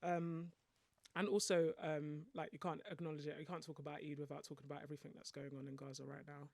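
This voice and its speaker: A woman's voice: low-pitched, level tone, quick pace, gravelly, a little mellow, no echo. A young woman from the UK co-hosting a basketball chat show, casual and chatty, with plenty of ums, likes and laughs. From United Kingdom. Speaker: podcast co-host.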